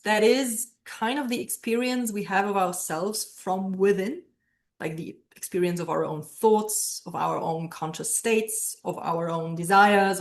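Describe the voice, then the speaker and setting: A woman gives an academic explanation in an interview, as a professor would. Her voice sits at a medium pitch. She is thoughtful and explanatory, often posing questions to herself as she reasons.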